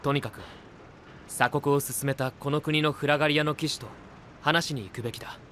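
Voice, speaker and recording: A man voicing a calm, level-headed young male knight in an anime voice drama, speaking politely and leading the group. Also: fairly high pitch, fairly quick, slight background noise, natural voice. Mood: steady.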